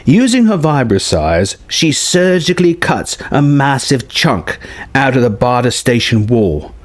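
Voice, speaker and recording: A man's voice: mid-range voice, sing-song, a little slow. A man narrating a scripted story in a dramatic style. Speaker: narrator reading a film story treatment.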